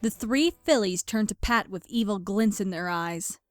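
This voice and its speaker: A woman narrates a scripted story in a medium-pitched voice. She reads as a storybook narrator, with music playing quietly underneath. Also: moderate pace.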